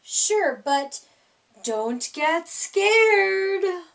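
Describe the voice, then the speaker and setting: A woman voicing a brash, touchy girl monster from a storybook, with a rasping voice and a snappy, cocky manner. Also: very high-pitched, sing-song, very slow, a bit bright, voice pushed up, exaggerated voice.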